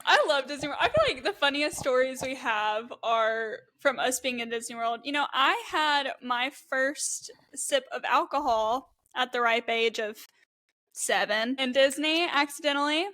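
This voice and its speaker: Bright high-pitched Southern young woman. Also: animated, measured pace. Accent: Southern US, softened. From Mississippi. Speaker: podcast co-host. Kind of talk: casual chat.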